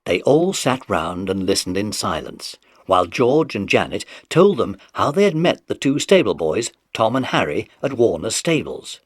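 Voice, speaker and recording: A man's voice: lowish voice, very animated, normal speed, hardly any pauses, dry recording. A British man narrating a scripted children's audiobook story.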